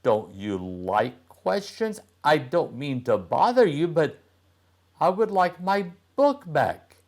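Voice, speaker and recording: A man's voice: on the high side, big pitch swings, slow, a little mellow, pitched above the performer's own voice, heavily acted. A man voicing a curious, easily annoyed young boy in a children's storybook read-aloud. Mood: firm, polite.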